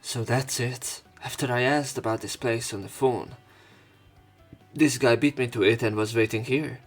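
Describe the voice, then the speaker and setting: A man's voice: mid-range voice, steady tone, moderate pace, soft background music. A man hosting a let's play, reading a visual novel aloud theatrically and adding casual commentary.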